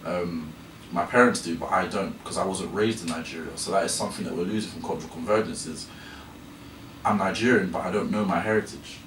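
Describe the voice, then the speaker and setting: Deep-voiced young man presenting in an echoey room. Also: brisk, fairly bright tone. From England. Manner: hesitant, restarting phrases often. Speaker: student presenter. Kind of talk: school presentation. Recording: echoey room, from a distance, noisy.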